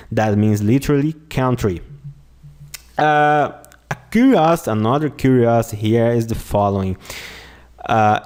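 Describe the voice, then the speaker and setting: A man with a Brazilian accent and a mid-pitched voice teaches an online lesson at an unhurried, deliberate pace. He repeats each word and phrase and keeps checking in with "okay?". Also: a little mellow. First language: Portuguese. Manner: slow, repeats words and phrases often, says "okay?" a lot. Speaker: language teacher.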